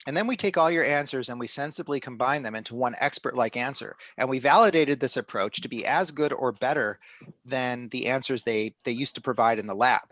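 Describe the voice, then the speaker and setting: A middle-aged man hosts a live online stream and explains the project to players. He talks at a medium pitch and stops often to restart his sentences. The sound is dry, with little room tone. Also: fairly quick, fairly bright tone, no room sound. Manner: explanatory, many restarts and fillers. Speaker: host of a live citizen-science stream.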